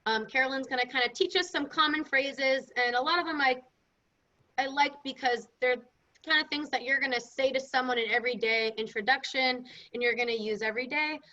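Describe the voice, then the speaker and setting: A woman with a high, bright voice hosts in a casual interview style. Her phrasing is informal and loose as she puts questions and introduces segments. The recording is a little dull and lo-fi. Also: a little fast. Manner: informal, hedging with kinda and like. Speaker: event host. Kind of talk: casual interviewing and event hosting. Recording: dull, muffled lo-fi sound.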